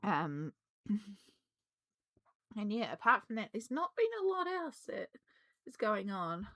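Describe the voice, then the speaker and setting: A woman, slightly hoarse from a cold, chatting casually and rambling with many ums while she colours. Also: medium pitch, big pitch swings, slow, fairly bright tone. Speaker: colouring vlogger.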